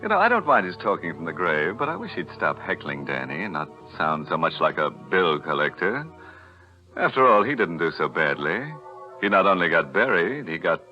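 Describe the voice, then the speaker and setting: A man's voice: low voice, big pitch swings, moderate pace, soft background music. A man hosting a 1940s radio horror anthology with tongue-in-cheek, macabre humor. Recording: dull 1940s radio recording.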